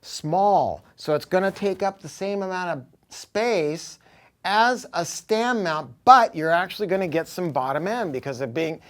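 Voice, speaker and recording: An American man giving an informal, off-the-cuff product pitch as a dealer, talking in an emphatic, slangy, salty way. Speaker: audio dealer presenting a product. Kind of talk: informal product review, off-the-cuff sales talk.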